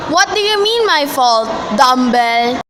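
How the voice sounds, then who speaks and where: A woman's voice: sits high, lively intonation, slow. A woman playing a sullen, exasperated young daughter talking back in an amateur radio play. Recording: very noisy amateur recording.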